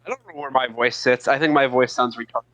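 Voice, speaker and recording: A man's voice: medium pitch, fairly even intonation, quick pace, sharp tone. A young man co-hosting a casual podcast, wry and self-deprecating. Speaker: podcast co-host. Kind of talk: casual chat.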